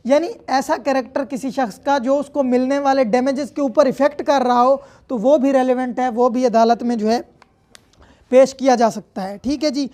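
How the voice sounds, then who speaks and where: A woman's voice: high voice, fairly even intonation, moderate pace, mellow. A woman with a Pakistani accent giving an explanatory law lecture, mixing in English terms and often checking that listeners follow. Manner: didactic, repeatedly checks in with listeners.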